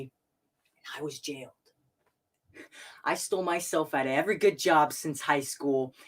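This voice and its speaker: A young man playing a bitter grown son confronting his father in a stage monologue, talking fast and rising into shouts. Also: on the high side, slightly rough, exaggerated voice. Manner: quick, rising shouts and pleading.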